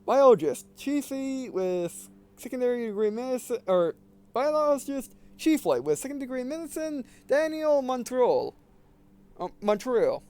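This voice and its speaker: A man voicing a calm, authoritative male biologist in a sci-fi game, formal and measured. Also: extremely high pitch, clear, resonant tone, voice pushed up, heavily acted. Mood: steady.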